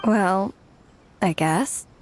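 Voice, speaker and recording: A woman's voice: medium pitch, very slow, a little hiss or hum. A woman playing a weary, self-doubting young adult in a video game, speaking hesitantly. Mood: hesitant, grateful.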